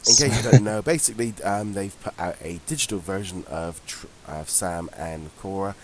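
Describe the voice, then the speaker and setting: A man's voice: deep voice, moderate pace, a little mellow, slight background noise. Congested man co-hosting a chat show. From England. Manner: chatty, laughs often, frequent ums. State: congested from a head cold. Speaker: podcast co-host. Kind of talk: casual chat.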